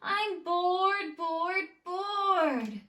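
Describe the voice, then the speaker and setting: Woman voicing a high-pitched little storybook girl. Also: lively intonation, very slow, bright, forceful tone, reverberant, exaggerated voice. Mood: bored, whiny. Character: bored, boastful little girl neighbor, later giggly.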